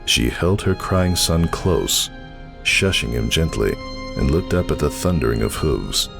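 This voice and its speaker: Very deep, gravelly male storyteller. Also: animated, measured pace, music in the background. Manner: solemn, theatrical. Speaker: narrator. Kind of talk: dramatic scripted fan fiction narration.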